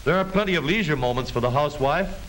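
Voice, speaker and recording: A man's voice: on the high side, quick pace, a bit bright. An American man delivering scripted 1930s newsreel narration in a jaunty, folksy showman's manner. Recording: hissy, noisy 1930s film soundtrack.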